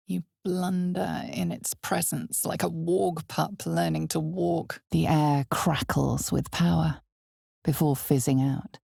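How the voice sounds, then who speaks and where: A woman playing an ominous, knowing narrator in a dark fantasy video game, with a husky, gravelly voice and measured, hushed, theatrical delivery. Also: deep voice, steady tone, dry recording, studio quality, exaggerated voice. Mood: ominous, solemn. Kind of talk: dramatic scripted second-person fantasy game narration.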